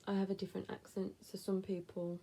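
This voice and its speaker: A woman's voice: medium pitch, level tone, quick pace, vocal fry, mellow, slightly rough. A woman in her thirties with a West Midlands accent, chatting casually to camera as she answers viewer questions, blunt and with whispered asides. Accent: West Midlands English. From England.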